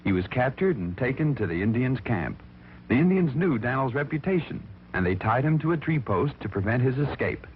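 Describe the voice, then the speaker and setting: A man's voice: mid-range voice, sing-song, brisk, a little hiss or hum. A man narrating a children's story in a theatrical, emphatic, folksy way, on an old recording with music behind him. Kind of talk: dramatic scripted storytelling for children. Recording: thin, phone-like vintage recording with music behind.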